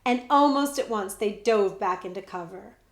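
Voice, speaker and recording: A woman librarian reading a children's book aloud with expressive storytelling, dropping to whispers at times. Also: medium pitch, normal speed, roomy sound. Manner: expressive storytelling, shifts between whispers and loud voices.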